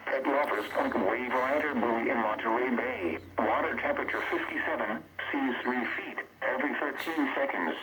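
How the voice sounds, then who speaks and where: Automated male weather announcer, even cadence through endless figures, caught off a noisy radio. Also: mid-range voice, normal speed, few pauses. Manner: steady list-like reading of figures. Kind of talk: automated weather observation readout.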